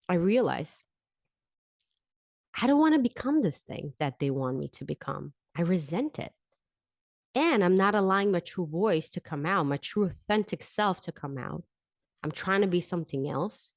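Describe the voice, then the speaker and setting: A woman with a low-pitched voice speaks as a podcast host, sharing a personal story. She is candid and reflective, often repeating words for emphasis. The sound is dry and close, with very little room in it. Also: lively intonation, unhurried, pauses often, dry recording. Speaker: podcast host and vocal coach.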